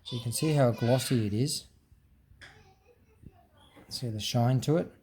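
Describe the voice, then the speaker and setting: A man's voice: mid-range voice, a little slow, a little mellow, breathy edge. A man casually explaining a hands-on teardown, talking off the cuff with frequent ums and restarts. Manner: unscripted explaining with fillers and restarts. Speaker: hobbyist presenter. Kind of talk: casual show-and-tell teardown.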